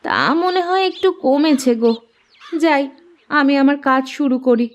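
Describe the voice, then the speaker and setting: An actress playing a practical, cautious village housewife in a cartoon folktale. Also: female voice, high voice, unhurried, slight background noise. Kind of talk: Bengali cartoon folktale dialogue.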